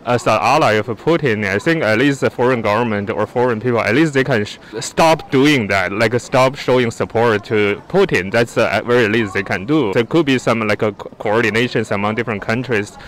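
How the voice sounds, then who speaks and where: A man's voice: medium pitch, brisk, few pauses, fairly bright tone, low-quality recording. A young man with a Chinese accent answering informally in a street interview, often rephrasing himself. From China. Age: young adult. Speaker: law student at a rally. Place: outdoor city park at a rally. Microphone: handheld interviewer mic, speaking through face mask.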